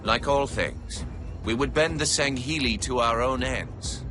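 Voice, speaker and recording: An actor playing a solemn alien chronicler narrating history in a video game cutscene. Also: male voice, medium pitch, unhurried, over music, lightly acted. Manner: solemn and pious.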